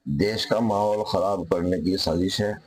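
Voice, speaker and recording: A man giving a measured, disapproving opinion in an interview. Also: sits low, fairly even intonation, darkish tone, slightly rough. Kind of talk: interview sound bite.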